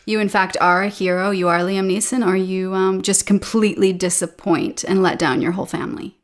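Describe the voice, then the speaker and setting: A woman in her thirties with a Canadian accent, a guest chatting casually in a relaxed way with fillers, over a video call. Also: mid-range voice, brisk. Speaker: podcast guest, English teacher. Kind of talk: casual interview chat. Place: home room with a painting behind her.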